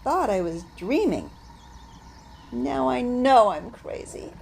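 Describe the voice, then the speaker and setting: An actress playing Bee, a vengeful woman who stings rude people, in a radio drama with a slow, measured delivery. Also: fairly high pitch, big pitch swings, gravelly, darkish tone, a little hiss or hum, full character voice. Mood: wistful.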